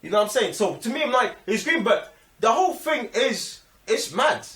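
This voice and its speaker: A man's voice: very high-pitched, sing-song, moderate pace, a bit bright, distant mic. A man with a London accent chatting casually about a film with friends, slangy and repeating phrases for emphasis. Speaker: film review podcast co-host. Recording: roomy echo, off the microphone.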